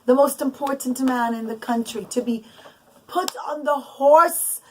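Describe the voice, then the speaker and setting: High-voiced woman telling bedtime stories. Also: slight background noise, rough recording. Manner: halting, restarts and loses the thread, emphatic repetition. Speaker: storyteller for children. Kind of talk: improvised bedtime storytelling.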